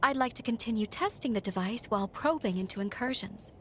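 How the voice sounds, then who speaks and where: An actress playing an eccentric, eager young female scientist in a video game, with a playful, theatrical and expressive delivery, in anime-style acting. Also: fairly high pitch, fairly quick, a little hiss or hum. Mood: playful, intrigued. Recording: voice over background game music.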